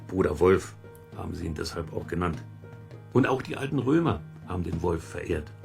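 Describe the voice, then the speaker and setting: A man's voice: low voice, lively intonation, normal speed, gravelly, over music, full character voice. An actor playing a folksy, curious man who muses and chats to listeners in a children's audio drama. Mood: musing, amused. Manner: musing, chuckling, colloquial, talks to listeners. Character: folksy, curious amateur investigator, chatty middle-aged man. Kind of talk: German children's audio drama, chatty explaining.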